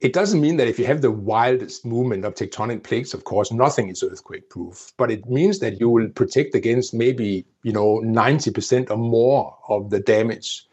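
Husky man with a Danish accent. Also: medium pitch, moderate pace, hardly any pauses, darkish tone, lo-fi sound. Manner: explanatory, with frequent restarts and "you know". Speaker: interview guest, former project planner. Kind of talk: conversational interview.

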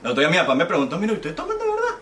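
A man's voice: high voice, wildly swooping pitch, rapid-fire, fairly bright tone. A man with a Venezuelan accent co-hosting a podcast, joking, swearing and bantering casually. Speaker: podcast co-host. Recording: echoey room, mic at a distance, faint room noise.

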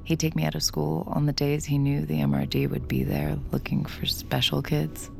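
Low, gravelly, guarded young woman. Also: monotone, moderate pace, over music. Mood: reflective. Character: guarded, world-weary young woman mind reader, defiant and sardonic. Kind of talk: audio drama dialogue and confessional narration.

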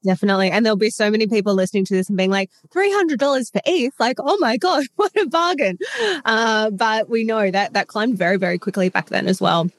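A woman hosting a podcast interview, her voice a little croaky from illness, chatty and upbeat. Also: mid-range voice, animated, fairly quick, no echo. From Australia. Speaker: podcast host. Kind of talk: casual interview.

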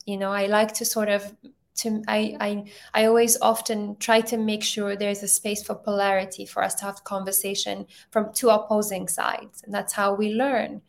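A woman in her thirties from Ethiopia, talking in a thoughtful, halting way with many restarts, as an interview guest on a video call. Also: mid-range voice, level tone, gravelly. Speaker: filmmaker guest.

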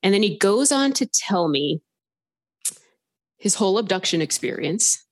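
A woman from Southern California calling in to a podcast, telling her story in an animated, rambling way full of filler words. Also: medium pitch, a little slow. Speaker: caller sharing a paranormal story. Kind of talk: casual storytelling on a phone-in podcast.

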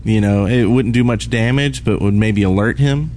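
A man with a fairly low voice co-hosts a gaming podcast in a casual, conversational way. Background noise from a convention floor surrounds him. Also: normal speed. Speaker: podcast co-host. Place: live on an open convention floor. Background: convention ambience and loudspeaker.

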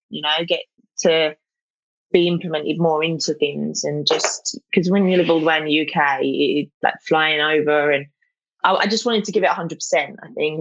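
A woman's voice: low-pitched, no echo, highs cut off. A young woman with a South East London accent, chatting casually as an interview guest, full of fillers and restarts. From England.

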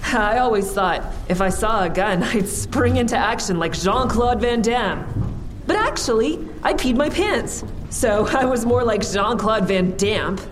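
An actress playing a traumatized woman survivor in an audio drama, recounting her ordeal in a measured, deliberate way. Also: on the high side, lively intonation. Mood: wry. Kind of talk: full-cast audio drama monologue.

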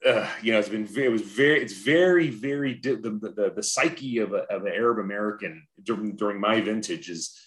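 A man's voice: fairly low pitch, brisk, few pauses, fairly bright tone. A man in his forties from Southern California, a podcast guest talking reflectively and earnestly, often restarting his sentences. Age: 40s. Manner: reflective, earnest, frequent restarts and repeated words. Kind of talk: casual interview conversation.

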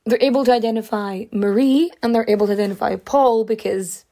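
A woman with a fairly high but soft, mellow voice, talking her way through a story in a loose, chatty way with lots of fillers. Her pitch jumps up and down as she gets into it, and her voice is wearing thin and a little hoarse. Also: a little fast. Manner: chatty, many fillers, big pitch swings. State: losing her voice. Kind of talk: casual storytelling.

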